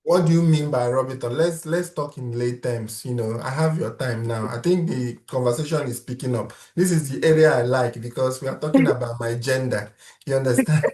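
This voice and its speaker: A man's voice: medium pitch, a little fast, few pauses, a little mellow. Guy host, echoey room, long rambly questions, keeps asking you understand. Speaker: interview host. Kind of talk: casual interview.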